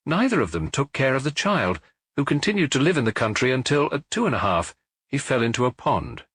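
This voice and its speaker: A man voicing a weary older police detective who questions calmly and firmly, with measured compassion. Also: mid-range voice, breathy edge, dry recording, voice pushed up. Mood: matter-of-fact.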